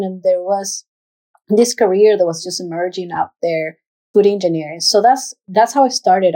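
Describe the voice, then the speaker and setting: Female interview guest, native Spanish speaker with Ecuadorian accent, soft-edged warm timbre, mid pitch, informal answers. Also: low-quality recording. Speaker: interview guest, executive director.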